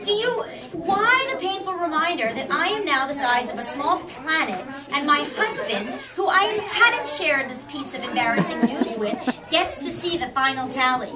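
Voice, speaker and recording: A woman's voice: sits high, animated, moderate pace, hardly any pauses, a loud crowd. An American woman reading her own humorous personal essay aloud at a live book event, picked up from a distance. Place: public book reading in a shop. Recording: distant mic in a noisy crowded room.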